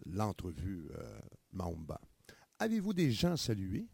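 A man's voice: low-pitched, wildly swooping pitch, a little slow, vocal fry, mellow, breathy edge, no echo. A man playing an interviewer in a comedy sketch, hesitating often with filler sounds.

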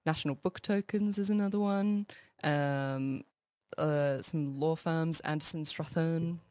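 A woman's voice: deep voice, unhurried, darkish tone, dry recording. A woman guest on a radio show talking about her festival work, friendly and giggly with many fillers. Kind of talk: radio interview.

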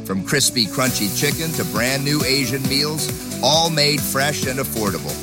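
A man giving a steady, friendly read of a local radio ad. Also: medium pitch, moderate pace, over loud music. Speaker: ad announcer.

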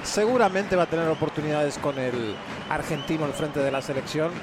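A man giving conversational, slightly halting color commentary during a live soccer match. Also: fairly high pitch. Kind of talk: live analysis and background remarks. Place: open-air soccer stadium. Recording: loud stadium noise behind voice. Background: loud outdoor stadium ambience.

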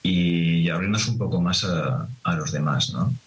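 Deep-voiced middle-aged Madrid winemaker. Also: male voice, unhurried. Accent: Madrid Spanish. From Madrid, Spain. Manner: reflective, hesitant, many restarts and tag questions. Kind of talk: relaxed interview answers.

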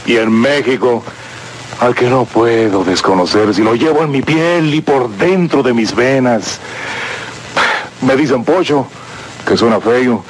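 A man's voice: medium pitch, unhurried, pauses often, a little hiss or hum, close to an everyday voice, low-quality recording. An actor playing a proud, wounded man in a film monologue, with an emphatic, colloquial delivery. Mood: wounded, pleading. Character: proud, wounded Mexican American man, torn between two countries.

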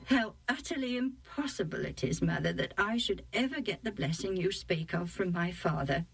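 A woman's voice: mid-range voice, animated, moderate pace, vocal fry, breathy edge, no room sound, faint music behind, rough recording. A woman voicing an earnest, shrewd teenage son who speaks in a questioning way in a Bible storybook. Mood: doubtful. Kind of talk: acted Bible storybook dialogue.

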